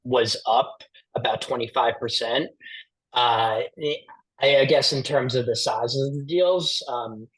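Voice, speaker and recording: A male financial analyst puts questions on an earnings call. His pitch is average, and his phrasing is somewhat hesitant. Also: rough recording.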